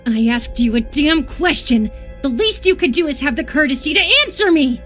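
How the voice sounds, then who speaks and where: A woman playing an obsessive, sweetly menacing young female captor in a dramatic horror visual novel, coaxing with a sharp edge. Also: high-pitched, animated, normal speed, faint music behind. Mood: angry, bitter.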